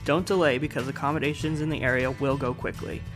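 A man's voice: mid-range voice, steady tone, quick pace, over music. A man with an American accent hosting a podcast, moving between scripted introductions and casual interview chat. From United States. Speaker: podcast host.

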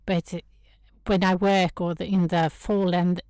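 A woman with a Swedish accent chatting as an interview guest, searching for words in halting English. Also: on the low side, level tone, darkish tone, clean tone, no echo, clean studio sound. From Sweden. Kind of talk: casual interview chat.

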